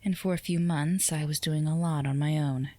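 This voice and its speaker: A woman playing a flirtatious, composed CEO boss in a scripted ASMR roleplay, speaking to the listener in an unhurried, teasing, formal way. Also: low-pitched, fairly even intonation, mellow, dry recording, close to an everyday voice. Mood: composed, persuasive.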